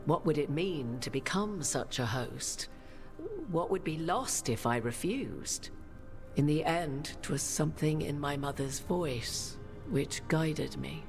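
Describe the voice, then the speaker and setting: A woman playing a solemn human sorceress in a video game, speaking in measured, archaic, formal phrases. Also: low-pitched. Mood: solemn, reflective. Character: solemn human sorceress bearing an ancient goddess's memories. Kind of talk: dramatic video game dialogue. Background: prominent music.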